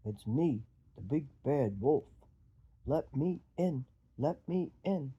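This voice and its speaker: A woman voicing a menacing, demanding storybook wolf who makes forceful, drawn-out threats. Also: very deep voice, expressive, husky, dull audio, voice pushed down, heavily acted. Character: big bad wolf, menacing, demanding, blustering storybook villain.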